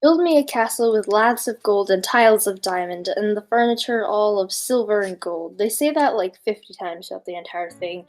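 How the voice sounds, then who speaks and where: A woman's voice: medium pitch, moderate pace, few pauses. A woman reading a fairy tale aloud on a podcast in an informal, giggly way, breaking off for casual asides.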